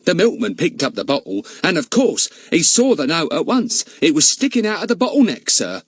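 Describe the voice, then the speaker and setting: A man's voice: fairly high pitch, big pitch swings, fairly quick, husky, dry recording, pitched above the performer's own voice. A man voicing a talkative, easily offended older female housekeeper who keeps calling her employer sir. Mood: chatty. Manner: gossipy, deferential, many a "sir". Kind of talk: audiobook character voice.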